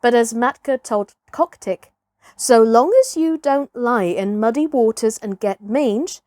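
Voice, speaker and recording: Slow, expressive woman storyteller. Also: a little high, dry recording, studio quality. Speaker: storybook reader, actress. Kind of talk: expressive scripted children's story narration.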